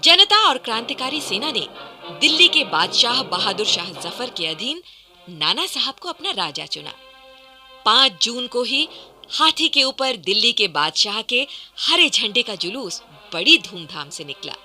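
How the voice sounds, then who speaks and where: Bright, animated woman narrator. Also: a little high, unhurried, soft background music. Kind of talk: dramatic scripted radio narration.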